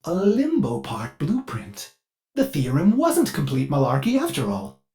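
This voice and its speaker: A man's voice: fairly high pitch, huge pitch swings, a little slow, mellow, soft background music. A man voicing a fussy, eager ship artificial intelligence in a video game, polite and theatrical, with a manic edge under the courtesy. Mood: intrigued, explaining. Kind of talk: scripted video game character dialogue.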